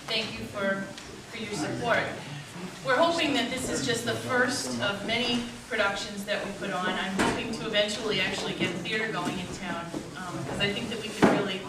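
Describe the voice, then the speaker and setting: A woman giving an informal, grateful welcome speech as the producer introducing a live performance. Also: medium pitch. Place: meeting house hall with live audience. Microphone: distant microphone. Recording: echoey hall, distant mic, noisy audience.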